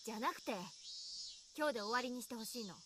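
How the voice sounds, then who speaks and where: A woman's voice: fairly high pitch, very fast, noisy background, natural voice. An actress voicing a brash teenage tomboy girl in a game, talking hesitantly and stammering. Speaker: game character.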